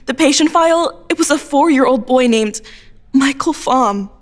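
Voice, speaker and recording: Anxious, high-voiced teenage girl played by a woman. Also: normal speed, lightly acted. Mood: distressed. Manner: hesitant, earnest. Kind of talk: audio drama acting. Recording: music under the voice. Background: music.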